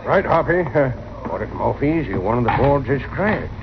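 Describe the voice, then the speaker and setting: A man playing an excitable, grumbling old cowboy sidekick with folksy exclamations in an old-time radio western. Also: medium pitch, normal speed. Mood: startled. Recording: dull, noisy old radio recording.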